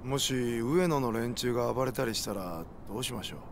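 A man's voice: medium pitch, expressive, brisk, slight background noise, close to an everyday voice. A man voicing a matter-of-fact, wary yakuza member in a Japanese video game, speaking in a street-wise way. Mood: deferential, concerned. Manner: blunt, explanatory, rough casual speech.

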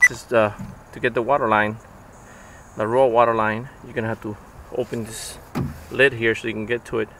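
A man's voice: medium pitch, flat delivery, moderate pace, background noise. A man giving a casual step-by-step how-to on his boat outdoors, talking plainly with fillers. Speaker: DIY boat video host.

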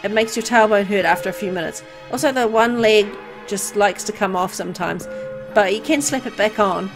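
A woman's voice: mid-range voice, fairly quick, over music, natural voice. A woman voicing a timid, poor young boy who is hesitant, apologetic and naively eager to please, for a game. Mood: meek, rambling.

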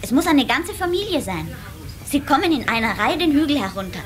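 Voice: sits high, sing-song, a little fast, fairly bright tone. A performer playing a curious, wide-eyed little water sprite boy in a children's radio play, full of wondering questions. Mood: excited. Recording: slightly hissy old cassette recording.

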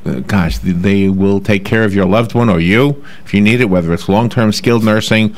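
A chatty male radio host with a New York accent, speaking into a studio desk mic. His voice sits low and he laughs easily between points. The talk stays loose and conversational. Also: moderate pace. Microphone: desk microphone.

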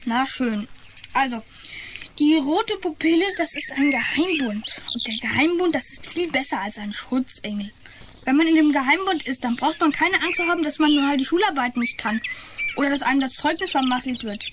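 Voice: sits high, lively intonation, normal speed. A performer playing a naive, secretive schoolboy with a hesitant manner in a children's radio play. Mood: secretive, eager. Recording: noisy radio play with birdsong.

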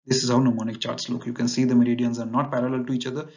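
Gravelly man explaining over slides. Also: medium pitch, flat delivery, a little mellow. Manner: measured, explanatory, with frequent fillers and restarts. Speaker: navigation instructor. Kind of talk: slide-based lecture. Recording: dull lo-fi voice-over.

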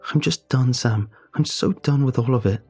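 Guy putting on a teen schoolgirl voice, tearful and choked, weary and defiant, kinda husky. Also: mid-range voice, moderate pace, a little mellow, faint music behind, pitched above the performer's own voice, close to an everyday voice. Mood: distraught.

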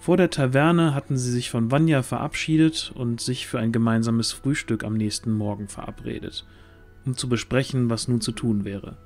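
A man reads scripted fantasy narration in a mid-pitched voice. Music plays faintly behind his storytelling. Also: normal speed, darkish tone.

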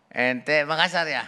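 A man's voice: fairly high pitch, pushed and bright. A middle-aged man with a Jakarta Indonesian accent, bantering casually as a comedy show judge in a noisy studio. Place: judges' desk in large TV studio.

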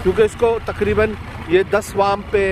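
High-voiced Pakistani man explaining fishing. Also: flat delivery, moderate pace. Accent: Pakistani Urdu. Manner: step-by-step explanatory, mixes in English terms. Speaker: fishing vlogger. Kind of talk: explaining while filming. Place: open fishing boat at sea. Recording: loud engine noise behind.

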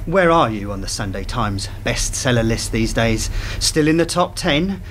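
An actor in a radio play voicing a cold, manipulative intelligence handler, sardonic and measured. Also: male voice, mid-range voice, animated, fairly bright tone, a little hiss or hum, close to an everyday voice. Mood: sardonic, calculating.

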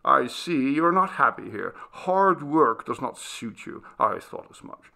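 A bookish gentleman scholar from a storybook, acted by a male reader, formal and impatient. His pitch swings widely over a creaky edge as he questions in a brusque, reserved manner. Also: very animated, measured pace, exaggerated voice.

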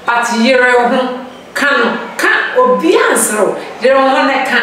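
A young woman teaching beginner phrases slowly and with lots of repetition, gesturing as she drills each word. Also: high voice, a little hiss or hum. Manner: animated hand gestures, drawn-out drilled phrases. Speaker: language teacher. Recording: echoey room, distant mic.

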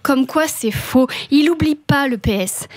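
A woman's voice: high voice, measured pace, a little mellow, dry recording. A woman in her thirties with a French accent, delivering a scripted comic radio column in a playful, tongue-in-cheek manner with set-up punchlines. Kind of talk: scripted comic monologue with banter. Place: small indoor radio studio. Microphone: close studio broadcast microphone.

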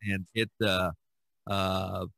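An older man in his sixties chats as a podcast host in a fairly low voice. He speaks haltingly, circling back over phrases, in a dry, close recording. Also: level tone, creaky, fairly bright tone, dry recording. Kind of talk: casual conversation.

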